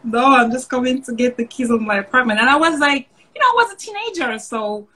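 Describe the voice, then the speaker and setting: A woman's voice: fairly high pitch, lively intonation, fast, bright, forceful tone. A woman who grew up in France, speaks casually and reflectively as an interview guest over a video call. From Paris suburbs, France.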